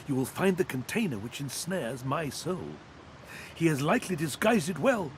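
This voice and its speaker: A man's voice: on the high side, a bit bright, a little hiss or hum. A man playing a thousand-year-old skeleton knight in a fantasy game, anguished and noble, with theatrical, archaic speech. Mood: earnest, hopeful. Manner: theatrical, archaic, grandiose phrasing. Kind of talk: dramatic fantasy game voice acting.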